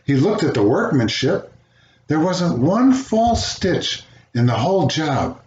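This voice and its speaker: An older man reading a children's fairy tale aloud as a storyteller, with an expressive delivery. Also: a little high, slow pace. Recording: roomy, dull home recording, faint noise.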